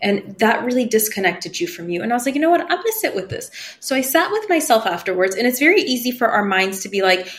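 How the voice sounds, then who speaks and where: A woman's voice: on the high side, big pitch swings, fast, few pauses. A woman whose was Farsi, telling personal stories as a podcast guest and acting out the voices she quotes, laughing easily. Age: 30s. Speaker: podcast guest, spiritual medium. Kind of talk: casual interview storytelling.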